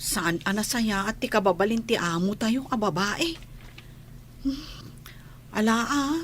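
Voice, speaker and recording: An actress with a Filipino accent playing a gentle, concerned older-sister housemaid in a radio drama. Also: female voice, medium pitch, unhurried, slight background noise, close to an everyday voice. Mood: sympathetic.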